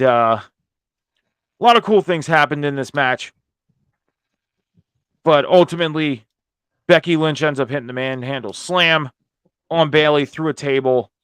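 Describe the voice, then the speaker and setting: Hoarse male host, mid-pitched, dry close sound, informal recap commentary, casual wording. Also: a bit bright, dry recording. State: hoarse after a night of screaming at a concert. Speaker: host of a wrestling review show.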